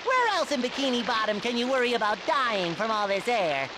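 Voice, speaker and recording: A man's voice: extremely high pitch, very animated, a little fast. A man voicing a naive, eager sea sponge in a cartoon video game, earnest, childlike and exaggerated. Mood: cheerful musing. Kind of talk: animated video game voice acting. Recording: noticeable background noise.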